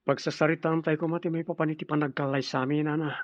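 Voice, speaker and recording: A man's voice: on the high side, little pitch movement, fast, dry recording, dull audio, close to an everyday voice. A man with a Filipino accent playing an earnest, dutiful grown son and suitor in an Ilocano radio drama. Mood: earnest, respectful.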